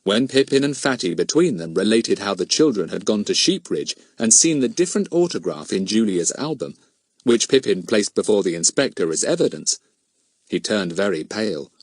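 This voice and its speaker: A man's voice: fairly low pitch, very animated, normal speed, no room sound. A man reading a children's story as scripted audiobook narration.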